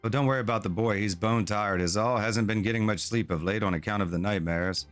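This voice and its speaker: A man voicing a tired, grieving middle-aged father, reading the lines slowly in a low voice. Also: natural voice. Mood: weary. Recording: faint music behind the voice.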